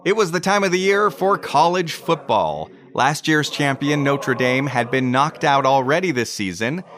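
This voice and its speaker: A man's voice: on the high side, animated, moderate pace, a bit bright. A man hosting a podcast with scripted, explanatory narration. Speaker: podcast host.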